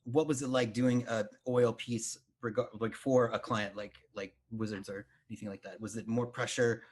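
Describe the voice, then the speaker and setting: A man's voice: mid-range voice, fairly even intonation, moderate pace, darkish tone. A man hosting an interview over a video call, asking rambling, self-correcting questions. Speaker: interviewer host.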